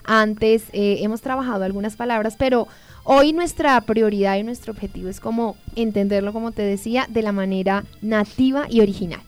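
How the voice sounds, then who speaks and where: A woman's voice: mid-range voice, brisk, faint music behind. A woman hosting a radio interview, guiding her guest and restating points for the listeners in a friendly way. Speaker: radio host.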